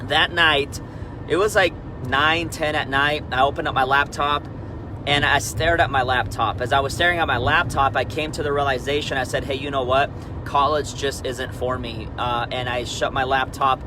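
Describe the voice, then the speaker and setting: Man, 30s, Peru-born, candid rambling live-stream host, clear traffic noise under the voice. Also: medium pitch, steady tone, normal speed. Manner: candid, rambling, frequent restarts and "right?" asides. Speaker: network marketing leader. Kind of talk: Facebook Live motivational talk.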